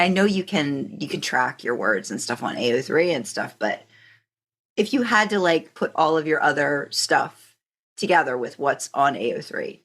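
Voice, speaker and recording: A woman with a slightly low-pitched voice hosts a relaxed, conversational interview. She thinks out loud, halting and rephrasing as she goes, and affirms her guest in an encouraging way. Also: husky. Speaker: podcast host interviewing a guest.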